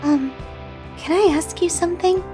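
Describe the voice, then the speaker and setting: High-pitched gentle young woman, troubled female actor. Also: normal speed, soft background music. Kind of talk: English manga video dub dialogue.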